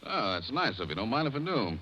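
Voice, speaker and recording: An actor playing a brisk, courteous but probing police officer in old radio drama style, plainspoken and official. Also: male voice, mid-range voice, big pitch swings, pushed and bright, muffled recording, slight background noise. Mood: affable. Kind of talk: 1940s radio acting.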